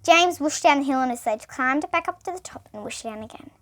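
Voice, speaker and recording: A woman's voice: high-pitched, lively intonation, a little fast, a bit bright. A young girl reading a children's bedtime story aloud, expressively and with small stumbles. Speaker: storybook reader.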